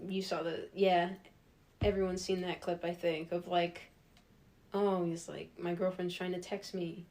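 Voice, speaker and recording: A woman's voice: fairly low pitch, measured pace. Giggly young woman telling stories. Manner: giggly, animated storyteller who acts out quotes. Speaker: podcast co-host. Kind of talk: casual chat.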